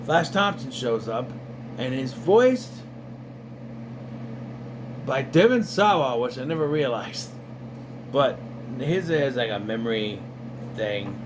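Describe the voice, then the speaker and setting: A man in casual commentary, reviewing an animated series in a rambling, sarcastic way with lots of fillers. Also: medium pitch, takes it slowly, long pauses, noisy background. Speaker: video reviewer.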